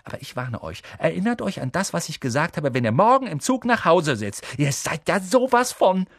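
A man voicing a bossy, indignant young schoolgirl in a storybook reading, with a sharp, shrill, preachy delivery. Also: sing-song, normal speed, dry recording, studio quality, heavily acted. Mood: indignant, scolding.

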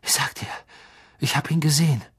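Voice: medium pitch, a little fast, breathy edge. A reader voicing a frightened teenage boy in a storybook, urgent and insistent. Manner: urgent, pleading, shaky.

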